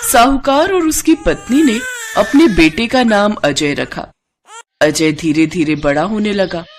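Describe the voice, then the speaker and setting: A woman's voice: medium pitch, a little hiss or hum. A woman storyteller reading a scripted devotional story.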